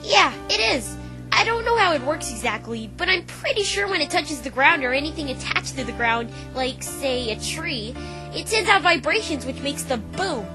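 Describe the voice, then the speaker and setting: A woman's voice: sits high, very animated, normal speed, rough recording. A woman voicing a brash, defensive young female pegasus pony in a fan dub, with a casual, cocky delivery. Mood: casual, explaining. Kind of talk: fan dub voice acting of a visual novel. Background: music.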